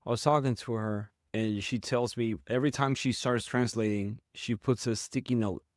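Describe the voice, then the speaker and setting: Reflective man, halting guest. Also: mid-range voice, fairly even intonation, no echo, clean studio sound. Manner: reflective, halting with frequent restarts. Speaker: guest, founder of a literature platform. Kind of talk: casual interview conversation.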